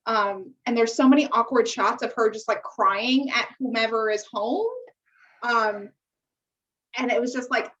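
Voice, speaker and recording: A woman's voice: on the high side, fairly even intonation, unhurried, fairly bright tone, dull audio. An American woman co-hosting a podcast in casual, emphatic chat peppered with slang. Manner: emphatic, uses swearing and slang. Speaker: podcast co-host. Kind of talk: casual chat about a TV dating show.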